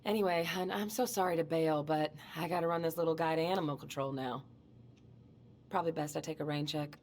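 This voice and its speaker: A woman's voice: low-pitched, steady tone, fairly quick, a little mellow, slight background noise, lightly acted. An actress playing a friendly, chatty small-town policewoman who talks in a colloquial, teasing way in a video game cutscene. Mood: teasing, rushed. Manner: colloquial, joking. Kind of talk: naturalistic video game voice acting.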